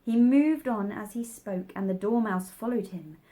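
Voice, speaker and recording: A woman's voice: mid-range voice, unhurried, warm tone. A woman reading a children's story aloud as narrator. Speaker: storybook reader.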